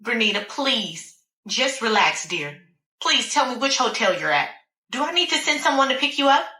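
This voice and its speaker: A woman voicing a concerned female doctor who keeps gently coaxing and pleading, calling her patient honey and dear. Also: on the high side, big pitch swings, moderate pace, roomy sound, pitched above the performer's own voice.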